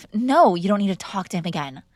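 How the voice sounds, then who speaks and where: A woman's voice: mid-range voice, animated, normal speed, no room sound, clean studio sound. A young woman performing an audio roleplay as a defiant, sarcastic mob boss's daughter arguing with her father. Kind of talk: scripted ASMR girlfriend roleplay.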